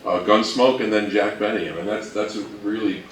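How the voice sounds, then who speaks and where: Lowish-voiced man presenting in a roomy space. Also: fairly even intonation, brisk. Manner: joking, conversational. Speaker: co-presenter of a history talk. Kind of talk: informal slide presentation. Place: room presentation before an audience. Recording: roomy, distant mic, faint background noise.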